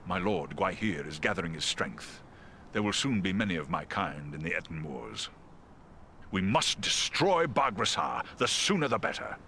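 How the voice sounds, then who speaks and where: An actor playing a proud, loyal giant eagle warrior in a fantasy game, speaking formally and earnestly. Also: male voice, low voice, big pitch swings, normal speed, bright, forceful tone, slight background noise, exaggerated voice. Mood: grim, resolute. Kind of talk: fantasy game cutscene acting.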